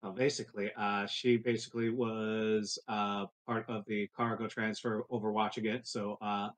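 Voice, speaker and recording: A man playing a tabletop roleplaying game, explaining casually in a halting manner. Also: lowish voice, flat delivery.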